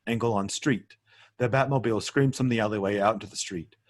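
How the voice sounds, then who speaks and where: A man's voice: fairly low pitch, brisk. A man narrating stage directions in a script table read, matter-of-fact and occasionally stumbling over words.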